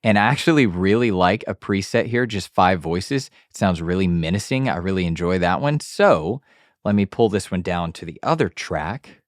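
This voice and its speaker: Male tutorial host, lowish voice, informal and explanatory, close dry studio sound. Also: expressive, moderate pace, no echo. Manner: informal, frequent check-in tags like okay and all right. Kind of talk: casual step-by-step tutorial talk. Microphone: close studio dynamic mic on an arm.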